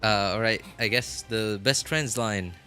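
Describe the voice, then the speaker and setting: A man chatting casually as a game livestreamer, rambling and halting as he thinks aloud. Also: fairly low pitch, bright, forceful tone, clear, resonant tone. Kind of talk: casual chat to viewers while gaming. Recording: music playing behind the voice. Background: background music.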